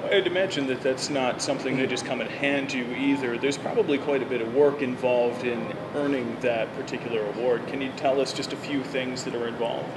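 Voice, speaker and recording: A man's voice: mid-range voice, steady tone, a little fast, hardly any pauses. A man working as a reporter, asking easygoing conversational questions in a field interview. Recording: loud background noise on location.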